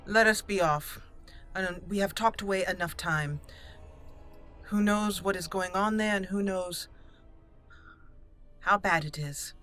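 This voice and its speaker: A woman doing let's play commentary on a fantasy game, slipping into formal, deliberate in-character speech. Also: mid-range voice, a bit bright, soft background music.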